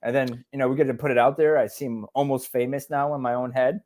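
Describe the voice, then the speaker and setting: A man's voice: medium pitch, steady tone, fast, darkish tone. A man co-hosting a casual podcast, talking bluntly and with heavy swearing as he rants. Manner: blunt, profane, fired-up ranting. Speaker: podcast co-host.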